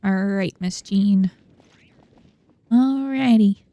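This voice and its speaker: Very mellow, unhurried woman streamer. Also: medium pitch, no echo. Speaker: game streamer. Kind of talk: casual playthrough commentary. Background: game music and game dialogue.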